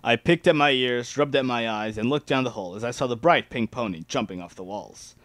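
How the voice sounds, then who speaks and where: A man's voice: medium pitch, fairly quick, fairly bright tone, dry recording. A man reading first-person fan fiction aloud as an amateur dramatic narrator.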